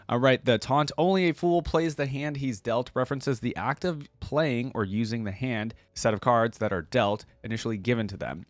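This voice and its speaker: A man's voice: mid-range voice, dry recording. Casual male reactor, dry close sound. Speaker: reaction video host.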